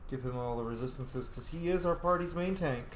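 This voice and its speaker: A man casually commenting on a role-playing video game as he plays, chatty and excitable, swearing freely at the game. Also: mid-range voice, a little fast, slight background noise. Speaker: gameplay commentator. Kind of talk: casual let's-play commentary. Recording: roomy echo with game music. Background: video game music and sound effects.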